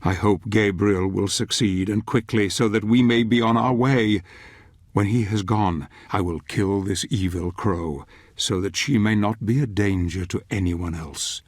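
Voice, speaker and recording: A solemn, brooding older storyteller narrates a dark fantasy game. His voice is low and recorded dry and close, with a measured, ominous delivery. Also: no room sound. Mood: grave, uneasy. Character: grave, brooding male narrator, wary and ominous companion. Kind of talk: scripted dramatic game narration.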